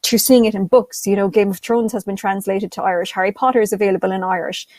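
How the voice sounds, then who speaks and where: A woman's voice: medium pitch, fairly even intonation, quick pace, darkish tone, dry recording. A young woman speaking enthusiastically as a panelist on an online call, with many fillers and restarts. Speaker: panelist, content creator. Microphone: video call.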